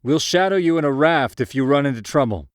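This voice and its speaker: Man acting a loud, commanding race organizer, raised pitch. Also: expressive, brisk, clear, resonant tone, no echo, studio quality, lightly acted. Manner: loud, announcing. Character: brisk, commanding male swim race organizer. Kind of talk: acted short story dialogue.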